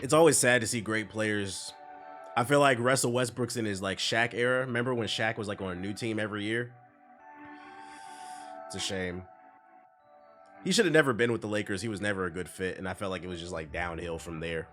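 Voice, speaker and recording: A man's voice: fairly low pitch, a little fast, pauses often, a bit bright, soft background music. A man with a stuffy, congested voice, reading news aloud and chatting casually on a live stream. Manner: casual, reads news aloud then riffs on chat. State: congested from a cold.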